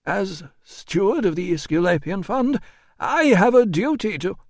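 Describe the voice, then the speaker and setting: Fussy pompous roman official, high strained voice, cowardly but officious, audiobook character. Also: male voice, very animated, measured pace, dry recording, studio quality, exaggerated voice. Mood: indignant, officious.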